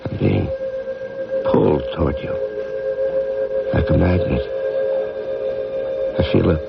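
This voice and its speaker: An actor playing a weary, haunted veteran astronaut commander with an American accent in a 1980s radio drama. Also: male voice, low voice, slow, vocal fry, heavily acted. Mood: bewildered, demanding. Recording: muffled old radio broadcast, music behind.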